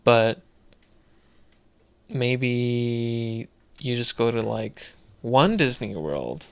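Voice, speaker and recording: A man's voice: mid-range voice, expressive, very slow, smooth, no room sound. A man co-hosting a podcast in casual chat, thinking aloud in a loose, rambling way. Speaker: podcast co-host.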